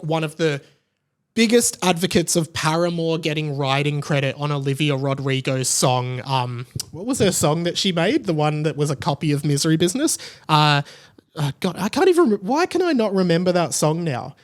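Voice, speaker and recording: Higher-voiced enthusiastic Australian man. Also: expressive, normal speed. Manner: enthusiastic, effusive. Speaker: podcast host. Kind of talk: casual solo podcast chat.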